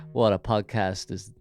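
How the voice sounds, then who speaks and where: An Irish man hosting a casual solo podcast, rambling and jokey with lots of fillers, speaking into a big studio microphone. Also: on the low side. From Ireland. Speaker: podcast host.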